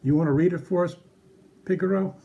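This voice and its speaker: A man's voice: high-pitched, brisk, creaky, muffled recording, slight background noise. A man presenting a casual Bible lesson for children in a puppet show, explaining things simply and conversationally.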